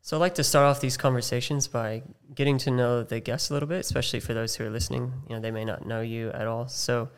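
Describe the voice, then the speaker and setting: A man's voice: mid-range voice, steady tone, fast, few pauses, smooth. A man hosting a podcast interview, friendly and affirming, with casual fillers and restarts. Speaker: podcast host.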